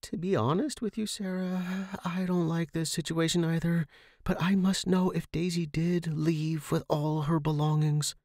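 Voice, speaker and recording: A man voicing an anxious, bossy young woman in a fiction podcast, hushed and urgent, often whispering. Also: a little high, little pitch movement, unhurried, no room sound, clean studio sound, pitched above the performer's own voice. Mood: worried whisper. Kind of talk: audio fiction character dialogue.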